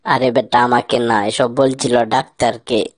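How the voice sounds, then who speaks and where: একজন পুরুষের অভিনয়ে খিটখিটে, হতভম্ব কার্টুন লোক. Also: male voice, medium pitch, flat delivery, unhurried, no echo, clean studio sound, natural voice. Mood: grumbling, puzzled. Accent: Bangladeshi. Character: grumpy, baffled man, stern boss and troubled friend. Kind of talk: comic cartoon skit dialogue.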